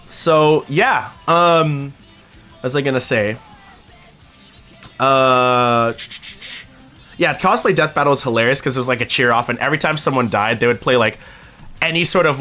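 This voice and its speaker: A young man streaming, talking casually to his chat, excitable and swearing freely. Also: medium pitch.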